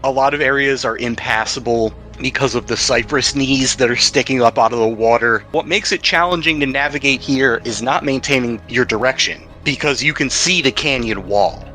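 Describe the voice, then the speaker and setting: A man's voice: mid-range voice, bright, forceful tone. A man narrating as game master of a tabletop game among friends, informal and jokey, swearing casually. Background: music.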